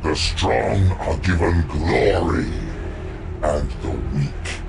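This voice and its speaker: A domineering video game war god with an extremely low, gravelly voice. He speaks very slowly and grandly, every phrase weighed like a proclamation of strength. Music plays clearly behind him. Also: expressive, heavily acted. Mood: cold, stern. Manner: grandiose, deliberate, declamatory. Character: ruthless male warlord war god, proud and domineering. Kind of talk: dramatic video game character monologue.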